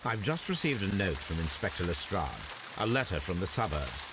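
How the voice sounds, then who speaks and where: A man's voice: low-pitched, sing-song, normal speed, noisy background. A British man playing a confident, analytical Victorian detective in a video game, speaking in a precise, formal, lecturing way. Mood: brisk, lofty. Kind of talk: video game dramatic acting.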